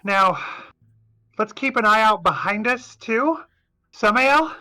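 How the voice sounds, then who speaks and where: A player in a tabletop game speaks in a very bright, forceful voice. The talk is chatty and upbeat. This player takes charge and gives the rest of the party orders. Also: medium pitch, expressive, slow pace. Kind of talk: casual tabletop play.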